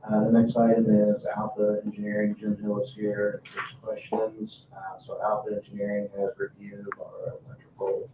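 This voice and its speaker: A man delivers a formal staff report in a low, monotone voice. He is halting and matter-of-fact, recorded from a distance in an echoey room with clear background noise. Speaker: town staff member presenting agenda items. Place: indoor public meeting room. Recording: echoey room, distant mic, dull, noisy.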